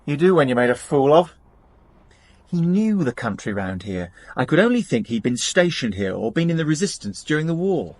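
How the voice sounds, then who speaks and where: Radio drama customs man: brisk, earnest, harassed, lively intonation, rough-edged voice. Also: a little high, no echo. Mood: rueful. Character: harassed, candid male border customs officer. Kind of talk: British radio drama acting.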